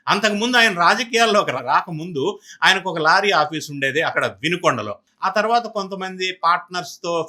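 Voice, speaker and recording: A man's voice: fairly high pitch, pushed and bright. A man with a Telugu accent giving emphatic political news commentary, often posing rhetorical questions.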